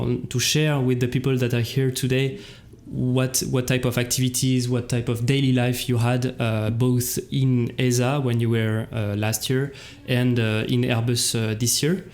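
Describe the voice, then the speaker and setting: A man's voice: mid-range voice, flat delivery, moderate pace, few pauses, darkish tone. A man hosting a casual livestream chat and introducing guests, often repeating words as he gropes for phrasing. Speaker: show host.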